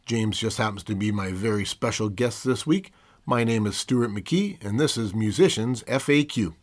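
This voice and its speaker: A man's voice: lowish voice, normal speed, slight background noise. A man hosting a music interview show, introducing his guest and asking questions with some hesitant restarts. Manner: friendly, hesitant, restarts phrases. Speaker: podcast host.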